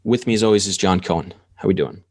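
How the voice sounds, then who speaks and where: A man's voice: sits low, lively intonation, fast. A man hosting a podcast, upbeat and conversational as he opens the show and introduces the guest. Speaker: podcast host.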